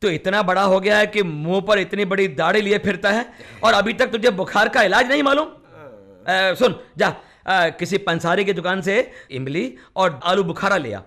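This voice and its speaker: Cocksure home-remedy friend, high-pitched, brisk, bossy, radio play. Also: male voice, a bit bright. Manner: brisk, insistent, chiding. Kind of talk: Hindi radio play acting.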